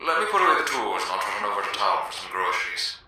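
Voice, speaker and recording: A man's voice: low voice, sing-song, rapid-fire, sharp tone, slight background noise, full character voice. A man voicing a deferential, practical servant pony who works as a ship's engineer, folksy and rambling, thin and distant as if through a speaking tube. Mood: chipper, obliging. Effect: muffled voice from downstairs or speaking tube.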